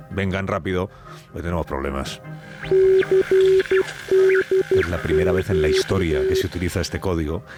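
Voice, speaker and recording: A man narrating a scripted audio documentary, telling the story in a measured, dramatic way with pauses. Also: low-pitched.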